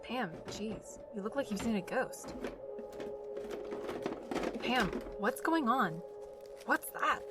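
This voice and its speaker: An actress playing a blunt, fussy young engineering student in an audio drama, speaking in an inquisitive, exasperated way. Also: female voice, a little high, lively intonation, slow, pauses often, over loud music. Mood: concerned, probing. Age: young adult.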